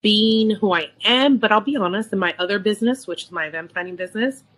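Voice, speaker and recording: A woman's voice: mid-range voice, fast, fairly bright tone. A middle-aged woman in casual conversation, candid and emphatic, repeating words for emphasis and sometimes mixing in Spanish. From San Francisco, United States. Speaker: podcast co-host.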